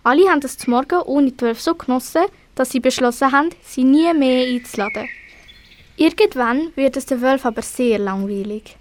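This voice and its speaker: High-pitched Swiss German female storyteller. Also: moderate pace. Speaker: radio play narrator. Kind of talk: scripted children's story narration.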